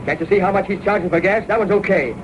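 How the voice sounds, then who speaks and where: A man's voice: high voice, fast, noisy background, close to an everyday voice. A man playing a brash, slangy, loyal gangster underling in a 1930s radio drama, on a dull old recording.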